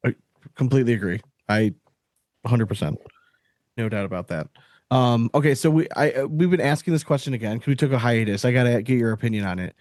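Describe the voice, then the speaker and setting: A man's voice: mid-range voice, expressive, quick pace, darkish tone, no echo, clean studio sound. A man hosting a casual interview show, talking informally and often agreeing with his guest. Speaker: podcast host.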